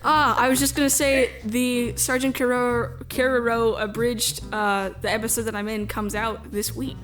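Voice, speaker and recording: High-voiced, giggly young woman chatting. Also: level tone, normal speed, a bit bright, soft background music. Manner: giggly, self-deprecating. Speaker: guest, amateur voice actor. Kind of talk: casual chat.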